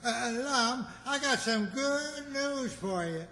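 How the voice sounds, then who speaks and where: A man playing an eager but hesitant, folksy country friend in an old-time radio comedy, halting and repeating himself. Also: very high voice, lively intonation, bright, forceful tone, a little hiss or hum, full character voice. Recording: reverberant hall stage recording.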